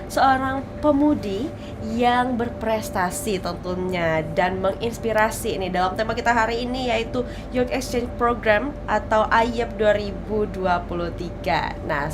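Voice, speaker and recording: A woman's voice: medium pitch, a little slow, music in the background. A woman hosting a morning TV talk show, chatting and teasing her guest in a light, playful way. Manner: chatty and teasing, laughs often. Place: TV studio. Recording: noticeable background noise.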